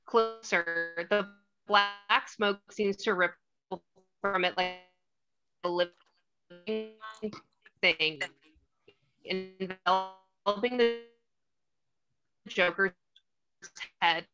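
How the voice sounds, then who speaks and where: A woman's voice: mid-range voice, very slow, long pauses, muffled recording, music in the background. A woman reading stage directions as scripted narration at a table read.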